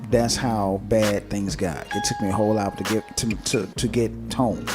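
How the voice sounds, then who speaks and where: A man's voice: fairly low pitch, fairly even intonation, normal speed, over loud music. A man co-hosting a podcast in casual, unscripted chat, colloquial and often repeating his phrases. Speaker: podcast co-host.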